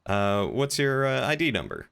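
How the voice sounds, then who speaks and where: A man's voice: medium pitch, sing-song, moderate pace, vocal fry, fairly bright tone, clean tone. A man chatting casually as he streams game roleplay, rambling and thinking aloud. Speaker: livestreamer.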